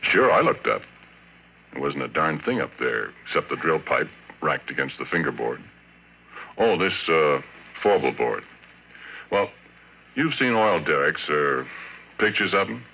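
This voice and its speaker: Deep-voiced, folksy oil rig hand. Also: male voice, sing-song, unhurried, pauses often, slightly rough, exaggerated voice. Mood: folksy storytelling. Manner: folksy, colloquial, chuckling drawl of a working man. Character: folksy, easygoing oil rig hand, narrating his own tale. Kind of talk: old-time radio horror drama acting. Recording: dull, noisy old radio recording.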